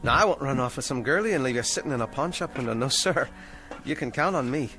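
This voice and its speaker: A man's voice: medium pitch, expressive, quick pace, bright, forceful tone, faint music behind. An actor playing a down-on-his-luck male ventriloquist who talks in an eager, fretful, anxiously upbeat way. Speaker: struggling ventriloquist. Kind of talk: 1960s television drama acting.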